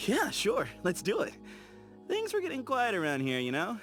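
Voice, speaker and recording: Game character, relaxed, playful young adventurer; bouncy delivery, slightly raised pitch. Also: male voice, normal speed, faint music behind, exaggerated voice. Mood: easygoing. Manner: relaxed, chatty, teasing, laughs easily. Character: easygoing, playful young desert explorer man.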